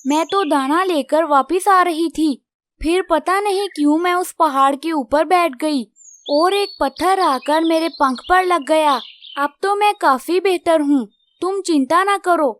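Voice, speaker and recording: Children's cartoon songbird , female actor: tiny, wise and brave, extremely high pitch, gentle and reassuring. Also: measured pace. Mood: resigned, reassuring. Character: wise, brave, grateful little female tailorbird. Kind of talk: Hindi children's cartoon dialogue.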